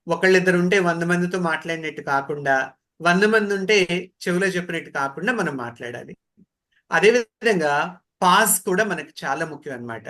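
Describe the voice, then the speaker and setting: A man with a Telugu accent giving an online training lecture as an instructor, speaking deliberately and mixing in English terms. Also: high-pitched, fairly bright tone.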